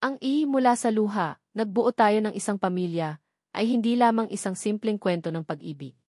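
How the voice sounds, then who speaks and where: A woman narrating a scripted dramatic story in a sentimental, measured storytelling manner. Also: mid-range voice, dark tone, no echo, clean studio sound. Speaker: audiobook narrator.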